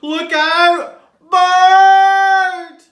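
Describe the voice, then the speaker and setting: Reader doing shrill, fretful storybook snails. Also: male voice, level tone, drawn-out words, a bit bright, full character voice. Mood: panicked. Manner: shrill, urgent exclaiming. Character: panicky, scolding little storybook snails. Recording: roomy, echoing indoor sound.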